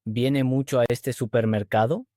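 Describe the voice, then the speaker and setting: Male host-teacher, mellow voice, even measured read, dry close sound. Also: mid-range voice, dry recording. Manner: clear, even, measured delivery. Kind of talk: slow scripted dialogue reading.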